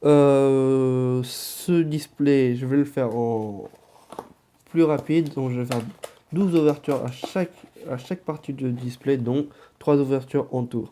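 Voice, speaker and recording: Male vlogger with a cold: unhurried, deep-toned, dark and soft delivery, mumbles asides mid-task. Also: a little hiss or hum. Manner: relaxed, drops to muttered asides while handling cards. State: has a head cold and sore throat. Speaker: card-opening vlogger. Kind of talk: casual chat while opening card packs.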